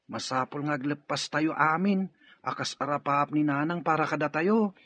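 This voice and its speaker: A man's voice: fairly high pitch, normal speed, pushed and bright, no echo. A man with an Ilocano Filipino accent playing an earnest, admiring younger brother in an audio drama. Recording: dull lo-fi audio with music.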